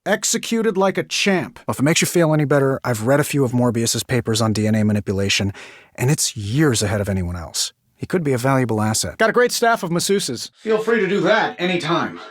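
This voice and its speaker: An actor voicing a cocky, wisecracking billionaire genius superhero in a video game, with an American accent and a glib, sarcastic, self-assured delivery. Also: male voice, medium pitch, lively intonation, fairly quick, dry recording, clean studio sound, natural voice. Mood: playful, sarcastic. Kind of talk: scripted game character dialogue.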